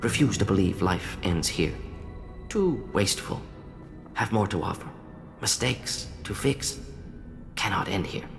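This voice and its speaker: Male alien scientist, game cutscene, lowish pitch, clipped fragments, analytical, remorseful, long reverb, music behind. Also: lively intonation, unhurried, vocal fry, long pauses, heavily acted. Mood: earnest, insistent. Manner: clipped telegraphic phrases, dropping small words, analytical. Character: fast-thinking alien scientist doctor, brilliant, defensive, remorseful male Salarian. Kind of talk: video game cutscene acting.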